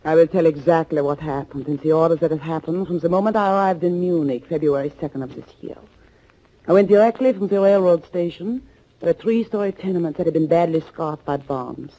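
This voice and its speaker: An actress playing a determined German underground agent in a 1940s radio thriller, speaking in measured, formal phrases. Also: female voice, low voice, warm tone. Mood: grave, suspenseful. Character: German underground woman recounting a vengeance mission, grave and determined. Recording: muffled 1940s radio recording.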